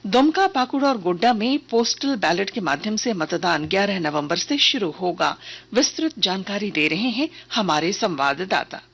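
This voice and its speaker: A woman reads a radio news bulletin from a script. Her voice sits at a middle pitch with a husky edge, and her delivery is formal and measured. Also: lively intonation, a bit bright. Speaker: news reader.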